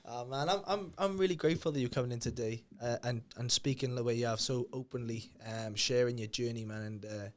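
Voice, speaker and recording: A man's voice: mid-range voice, a little fast, hardly any pauses. A man hosting a podcast, asking questions in a chatty, friendly manner with informal slang. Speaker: podcast host. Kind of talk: casual podcast interview.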